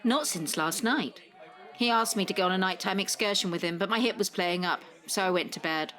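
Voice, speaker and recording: An actress playing a frank, matter-of-fact elderly care-home resident in an audio drama. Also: female voice, mid-range voice, a little fast, a bit bright, lightly acted.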